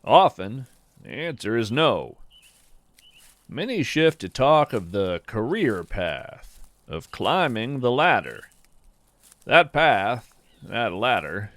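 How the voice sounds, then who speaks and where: Slow, expressive male essay reader. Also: medium pitch, dry recording. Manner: measured, musing, lingering on phrases. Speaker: podcast host and writer reading his essay. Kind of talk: slow reflective scripted narration.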